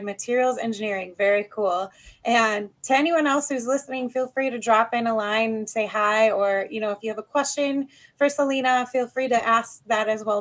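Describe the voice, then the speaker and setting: An American woman hosts a live interview over a video call, her voice sitting a little high. She talks in an upbeat, chatty way, greeting the guest and viewers. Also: fairly bright tone. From United States. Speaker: podcast host.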